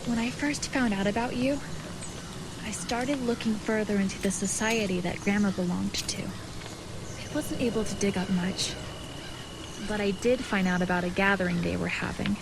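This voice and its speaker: A woman's voice: medium pitch, steady tone, normal speed, gravelly, background noise, natural voice. A young woman acting a determined, secretive video game character, speaking with a purposeful, reassuring and lightly teasing manner. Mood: earnest. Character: determined, secretive young woman guiding her newfound brother.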